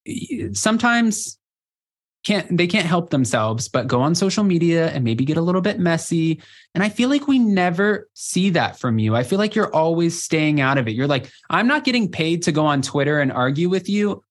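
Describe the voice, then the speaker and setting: Enthusiastic male podcast host. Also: on the high side. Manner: warm, enthusiastic, complimentary. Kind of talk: casual interview chat.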